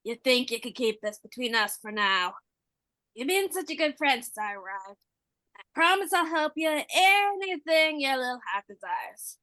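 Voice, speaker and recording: Woman as a bright, coaxing carnivorous plant. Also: high voice, expressive, moderate pace, no room sound. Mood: coaxing, sweet. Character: sly, sweet-talking talking flytrap plant, female, coaxing. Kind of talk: podcast musical read-through.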